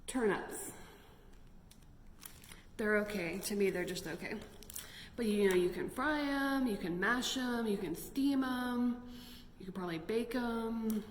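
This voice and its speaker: Echoey, slow-paced woman reading storytime aloud. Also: on the high side. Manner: animated, exclaiming, explains pictures to children. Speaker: library storytime host reading picture books aloud. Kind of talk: expressive read-aloud for young children with chatty asides. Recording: echoey, reverberant room with noticeable noise.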